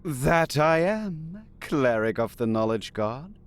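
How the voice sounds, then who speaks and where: An actor playing an enigmatic, knowing god of deception in a fantasy audio drama, speaking in a measured, formal, cryptic way. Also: male voice, fairly high pitch, very animated. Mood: courteous, puzzled. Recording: music bed under the voice.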